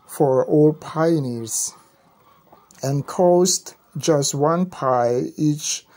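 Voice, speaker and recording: A woman's voice: low-pitched, warm tone. A woman with an Indonesian accent presenting an informal tutorial, explaining step by step in a hesitant way. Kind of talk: informal screen tutorial narration.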